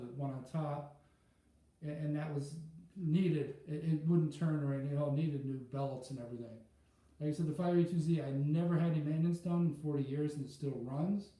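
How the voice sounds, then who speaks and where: A man's voice: on the high side, mellow. A middle-aged or older man chatting casually and unscripted about his hobby, rambling and hesitant as he thinks aloud. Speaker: audiophile hobbyist vlogger. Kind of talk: casual unscripted product chat. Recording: very echoey room, muffled tone.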